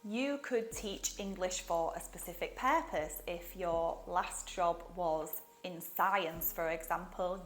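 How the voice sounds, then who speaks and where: Chatty Yorkshire woman with a lowish voice. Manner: chatty and upbeat, with asides and jokes. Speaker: online English teaching coach. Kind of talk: casual advice vlog.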